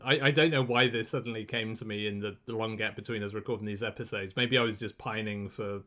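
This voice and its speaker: A man co-hosting a podcast in casual chat, relaxed and wry, often hesitating and restarting. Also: on the low side, quick pace. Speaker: podcast co-host.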